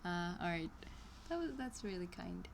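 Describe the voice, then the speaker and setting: A woman's voice: lowish voice, big pitch swings, normal speed, gravelly, slight background noise. A woman live streaming as she reads an online course aloud, breaking off into chatty asides and small laughs. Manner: hesitant, many ums and restarts. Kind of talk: casual live-stream reading with commentary.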